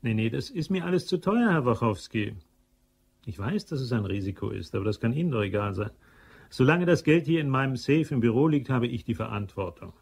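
A man's voice: mid-range voice, expressive, fairly quick, creaky, slightly rough. An actor playing an impatient, bossy male manager of a shopping centre in a radio crime drama, curt and demanding. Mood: businesslike, firm.

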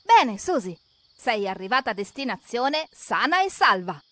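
A woman's voice: fairly high pitch, very animated, normal speed, fairly bright tone, no echo. A woman narrating a children's cartoon, expressively asking questions and talking straight to the characters.

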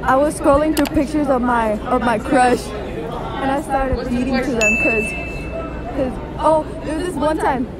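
High-voiced teen girl in a loud gym. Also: measured pace. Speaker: interviewee. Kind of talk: casual answers. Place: school gym. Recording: loud crowd noise behind.

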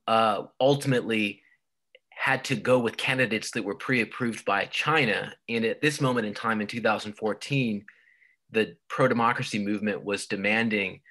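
A man's voice: fairly low pitch, bright, forceful tone. A man giving a university lecture over slides, explaining at length and often restarting with repeated words. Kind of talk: recorded online lecture.

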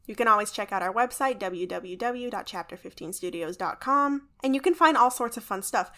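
A woman's voice: mid-range voice, animated, a little fast, pushed and bright. A woman announcing for a podcast in a chatty, promotional style, playful and informal.

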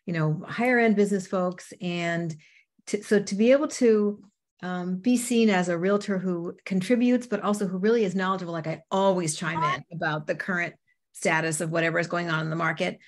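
A woman in her fifties, a real estate agent, speaking briskly on a video call panel from her home office, with many fillers. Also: on the low side. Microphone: video call webcam.

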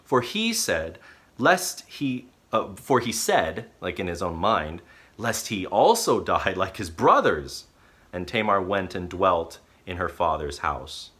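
A man in his thirties preaching a sermon from a small living room at home, talking straight to a close camera and telling the story with lively gestures. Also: sits low, slow pace. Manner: animated storytelling with expressive gestures. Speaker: pastor.

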